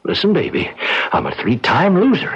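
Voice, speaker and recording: An actor playing a cocky, menacing gangster killer on the run, with an American accent and threatening, slangy talk that stammers under pressure, in an old-time radio crime drama. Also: male voice, high voice, wildly swooping pitch, normal speed. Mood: cocky, defiant. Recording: noisy, muffled old radio drama recording.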